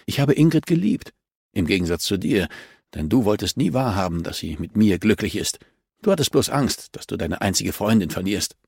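Guy doing a defiant murder suspect from a crime audiobook, snappy, indignant, bit of gravel, close dry sound. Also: on the low side, very animated, moderate pace, darkish tone, no echo, exaggerated voice. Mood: bitter.

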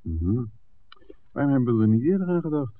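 A man's voice: medium pitch, sing-song, brisk, no echo, close to an everyday voice. An actor playing a wry, cautious male filmmaker in a 1960s Dutch radio drama. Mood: wry, breezy. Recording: dull, noisy vintage 1969 radio recording.

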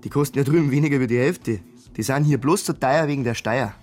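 An actor playing an evasive small-town early pensioner in a radio drama, laconic and defensive, with a Bavarian accent. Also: male voice, mid-range voice, fast talker, darkish tone, soft background music, natural voice. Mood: grudgingly explaining. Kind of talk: naturalistic radio drama dialogue.

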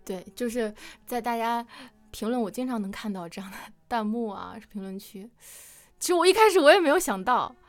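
A woman's voice: on the high side, animated, brisk, soft background music. A woman hosting a casual interview chat in a playful, giggly manner. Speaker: host.

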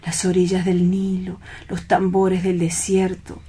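An actor playing a melancholy, lovelorn dreamer in a radio play, speaking in a soft half-whisper with lyrical, wistful phrasing. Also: male voice, high-pitched, monotone, slightly rough. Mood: dreamy nostalgia. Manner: lyrical, wistful, drawn-out reminiscing. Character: melancholy, dreamy, lovelorn romantic man, intense and misunderstood.

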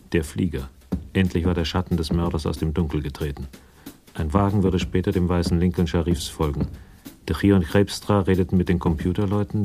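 A man's voice: low-pitched, warm tone. A man narrating a scripted 1980s German radio drama in a sober, literary storytelling manner.

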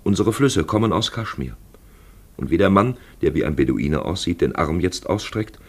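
A grave male storyteller in a radio play, with an extremely low, husky voice. He phrases deliberately and expressively, with lively swings in intonation. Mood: grave, intent. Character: grave male storyteller voicing a traveller's thoughts. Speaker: narrator. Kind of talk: literary radio play narration.

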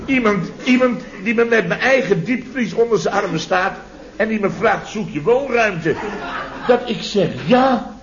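A blustering contractor in his fifties, his voice on the high side. He is indignant and self-important, hammering his phrases again and again in old radio comedy style, on a dull vintage recording. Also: lively intonation, a little slow. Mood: exasperated. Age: 50s. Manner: repeats himself, exclaims, self-important. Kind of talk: 1970s radio comedy acting.

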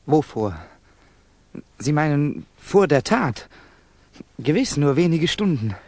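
An actor playing a bitter, guarded, touchy young Russian student in a 1960s German radio drama, with clipped, defensive questioning. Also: male voice, a little high, big pitch swings, slow pace, darkish tone, no room sound, heavily acted. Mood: tense, guarded.

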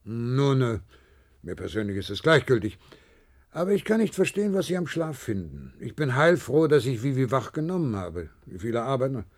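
Skeptical superior, patronizing, hemming, pointed, mid-pitched, very lively intonation, radio drama. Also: male voice, moderate pace. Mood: patronizing, probing. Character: patronizing male office boss, skeptical and probing. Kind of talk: German science-fiction radio play acting.

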